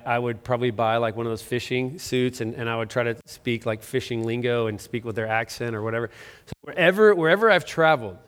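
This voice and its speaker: A man's voice: mid-range voice, smooth. A middle-aged man preaching a sermon as pastor, conversational and self-deprecating, with frequent restarts and fillers. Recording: long reverb of a large hall.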